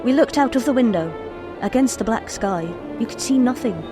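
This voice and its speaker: A woman's voice: fairly high pitch, lively intonation, fairly quick, music in the background, lightly acted. An actress playing an uneasy young wife, narrating her journal with careful suspense in a radio play. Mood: suspenseful. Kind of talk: dramatic first-person narration, radio play.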